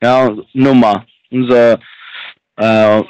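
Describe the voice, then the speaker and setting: A man speaking non-native German with a foreign accent, haltingly giving a phone sales pitch and searching for words. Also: level tone, darkish tone, smooth, no room sound, lo-fi sound. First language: not German. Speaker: phone agent for a fund recovery company.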